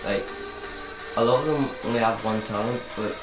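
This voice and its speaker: A teenage boy talking to camera in a casual vlog, halting and repeating himself with many fillers. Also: fairly low pitch. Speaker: vlogger. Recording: roomy echo with electronic music behind.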